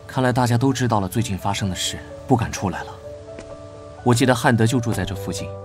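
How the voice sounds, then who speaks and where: A man playing a proud, sickly young knight officer in an animated drama, breathy, curt and weary. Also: medium pitch, quick pace, soft background music, lightly acted. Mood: businesslike. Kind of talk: Chinese animated drama acting.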